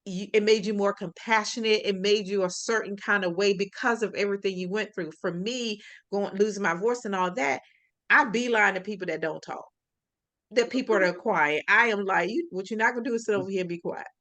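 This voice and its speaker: A woman's voice: mid-range voice, fairly quick, a bit bright. A middle-aged woman hosting a casual interview, talking expressively and laughing easily. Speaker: host.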